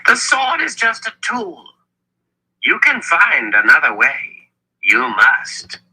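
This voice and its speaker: A man's voice: a little high, huge pitch swings, takes it slowly, sharp tone, breathy edge, voice pushed up, full character voice, rough recording. A man voicing an old wizard mentor in an audiobook, grave and fatherly, admonishing with emphasis and affection. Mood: forceful.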